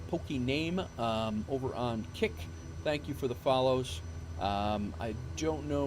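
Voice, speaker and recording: A man's voice: medium pitch, noisy background. A man streaming live, chatting casually and rambling with many fillers and restarts, his voice starting to go. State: losing his voice.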